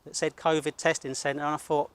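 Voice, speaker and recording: A man's voice: on the high side, little pitch movement, fairly quick, smooth. A British man talking bluntly and self-assuredly as he narrates to his camera outdoors and chats casually, working as an independent videographer. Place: outdoors at a college car park.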